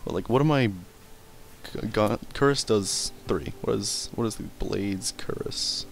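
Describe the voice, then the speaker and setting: Man, low voice, casual gaming commentary, rambling, offhand swearing, trips over difficult words. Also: takes it slowly, vocal fry, a little hiss or hum. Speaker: let's play gamer and commentator. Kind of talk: casual gameplay commentary and reading in-game text aloud.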